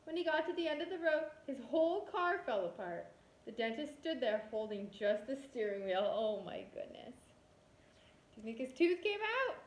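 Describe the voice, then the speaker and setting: A woman's voice: high voice, lively intonation, normal speed. A woman kindergarten teacher reading a storybook aloud expressively, talking directly to young children. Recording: roomy echo.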